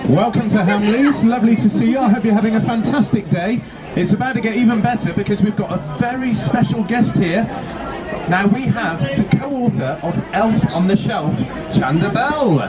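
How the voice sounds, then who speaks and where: A man's voice: sits high, expressive, normal speed. A man, announcing in upbeat style to children as the host of an event. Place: busy toy store event. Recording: loud music and crowd at public event.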